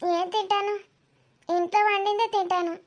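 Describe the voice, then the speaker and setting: A woman's voice: very high voice, level tone, moderate pace. A woman playing a young city visitor in a comedy cartoon, polite and questioning, puzzled, reserved and slightly impatient.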